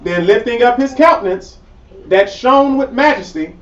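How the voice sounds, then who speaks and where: A man reading scripture aloud in a study group, steady and plain. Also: very high-pitched, normal speed. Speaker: scripture reader. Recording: echoey, distant lo-fi room recording.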